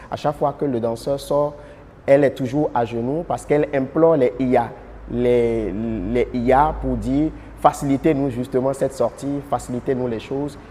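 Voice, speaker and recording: A man with a dark, mellow voice explains the research in a steady, fluent way. His pitch sits in the middle range. The sound carries a long hall reverb, with a faint ambient hum underneath. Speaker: artistic collaborator. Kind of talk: interview testimony.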